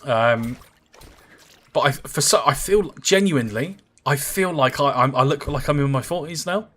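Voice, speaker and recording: A man's voice: medium pitch, moderate pace, fairly bright tone. A man in his thirties chatting casually with viewers while he livestreams a game, rambling with lots of fillers and answering comments. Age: 30s.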